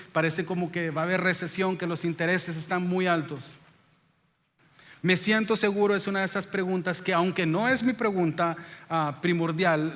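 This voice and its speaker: A long hall reverb surrounds a Salvadoran man preaching in Spanish. His voice sits a little high for a man. He delivers his sermon as a pastor, putting questions to his listeners and repeating phrases for weight. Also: fairly bright tone. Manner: direct address with rhetorical questions and repetition.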